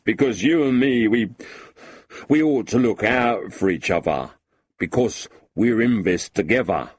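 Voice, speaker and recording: A man voicing a boozy, sentimental lowlife with a husky voice and a blustering, self-pitying way of talking. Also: lively intonation, unhurried, a little hiss or hum. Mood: maudlin.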